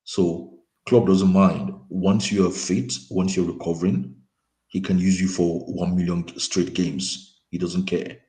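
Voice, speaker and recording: Male guest, very deep and mellow voice, soft-spoken, roomy remote audio. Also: flat delivery, unhurried. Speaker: panel guest. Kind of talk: casual football chat. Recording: quiet roomy remote line.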